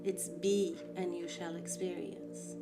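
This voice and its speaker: A woman whose first language is Farsi, giving a calm, reflective guided art lesson with soft emphasis. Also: fairly low pitch, takes it slowly, loud music behind the voice. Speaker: art therapy instructor.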